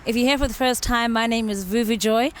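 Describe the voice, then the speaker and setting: A woman's voice: a little high, fairly even intonation, brisk, slight background noise. A woman hosting a casual interview with musicians. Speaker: interview host.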